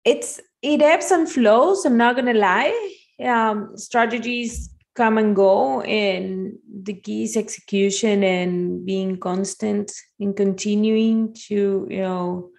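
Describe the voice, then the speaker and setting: A woman's voice: medium pitch, gravelly, a little mellow. A middle-aged woman from Argentina, telling her story conversationally as an interview guest. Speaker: podcast guest, marketing agency owner.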